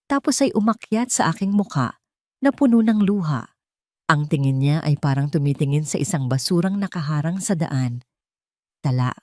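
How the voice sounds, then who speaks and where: A woman's voice: lowish voice, a little mellow, dry recording, studio quality. A woman storyteller reading dramatic, scripted first-person narration.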